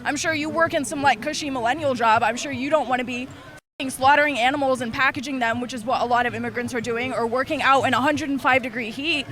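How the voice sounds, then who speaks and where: Young street interviewee, defiant and swearing, high-pitched, outdoors. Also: quick pace, fairly bright tone. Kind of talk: heated street interview argument.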